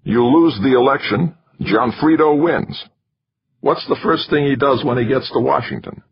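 A man's voice: medium pitch, normal speed, vocal fry, breathy edge, voice pushed up. A man voicing a wiry, edgy male campaign manager with a scratchy voice, pressing his point urgently in an audiobook. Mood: insistent. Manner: pushy, urgent persuading. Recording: dull lo-fi recording.

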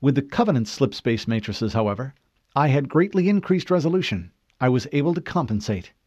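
A man voicing a calm, precise female shipboard AI in an audiobook, with formal technical phrasing. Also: medium pitch, fairly quick, dry recording, highs cut off, pitched above the performer's own voice, close to an everyday voice. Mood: composed, precise. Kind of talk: audiobook character voice.

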